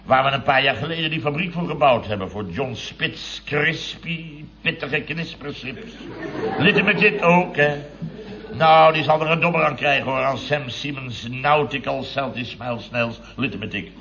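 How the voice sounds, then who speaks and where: An actor playing a jovial, blustering building-firm boss in a radio comedy, telling stories with sarcastic grumbles and asides. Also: male voice, mid-range voice, measured pace, crowd noise, lightly acted. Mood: jovial storytelling. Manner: sarcastic, tags sentences with chuckling asides. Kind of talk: 1970s Dutch radio comedy acting. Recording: dull vintage radio recording with audience.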